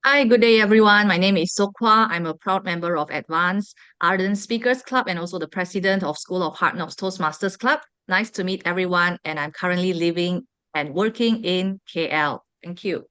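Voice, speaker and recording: A woman's voice: on the low side, moderate pace, few pauses, fairly bright tone, smooth, dry recording. A woman club president introducing herself in a polished, formal way on a video call. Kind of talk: self-introduction.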